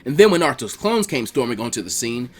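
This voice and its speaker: Fast, animated higher-voiced male story narrator. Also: soft background music. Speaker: narrator reading fan fiction. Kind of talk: expressive scripted story narration.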